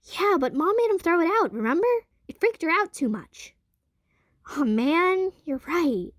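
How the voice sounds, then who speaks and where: A woman voicing excitable, nerdy young twin girls in an audio drama. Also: very high-pitched, measured pace, no room sound, clean studio sound, voice pushed up, heavily acted. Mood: eager, chatty.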